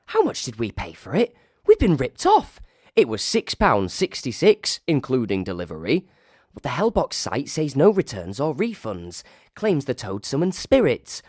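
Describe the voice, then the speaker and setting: A man with a Northern English accent voicing petty, gossiping hotel staff in a shrill, indignant voice. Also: darkish tone, dry recording, clean studio sound.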